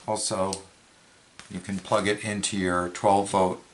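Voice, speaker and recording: A man's voice: low voice, level tone, unhurried, slight background noise. A man giving a plain, matter-of-fact, step-by-step hands-on product demonstration. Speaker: product reviewer, presenter.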